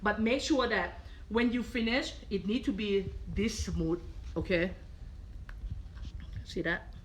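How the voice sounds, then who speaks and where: A woman's voice: mid-range voice, slow pace, low-quality recording. A middle-aged woman from Thailand with a Thai accent, a culinary professor leading a live cooking demonstration and checking in with listeners often. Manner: patient, checks in with frequent "okay?". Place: kitchen.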